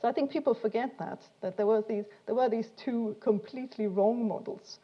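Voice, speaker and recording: A woman's voice: fairly high pitch, moderate pace, highs cut off. A woman giving an informal science lecture in an explanatory, hesitant way, often repeating words as she goes. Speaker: speaker giving a talk.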